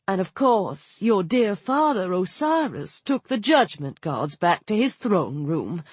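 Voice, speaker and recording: Brief: woman doing a motherly hippo goddess nurse, gushing and doting, slow and lilting, dry studio sound. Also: mid-range voice, sing-song, darkish tone, slightly rough, no echo, voice pushed down.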